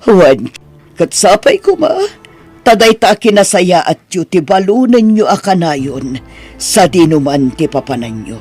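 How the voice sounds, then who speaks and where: A woman with an Ilocano Filipino accent playing a remorseful middle-aged aunt in an audio drama, pleading in a halting, emphatic way. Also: on the low side, expressive, a little mellow, slightly rough, faint music behind. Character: remorseful older aunt who once belittled her niece.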